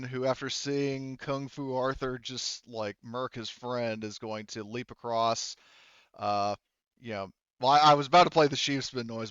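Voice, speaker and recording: A man with a smooth, clean voice runs a tabletop roleplaying game at an unhurried pace. He works through rules and narration haltingly, thinking aloud in a casual, mildly profane way. The recording is dry and a little dull. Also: medium pitch, fairly bright tone, dry recording. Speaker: game master.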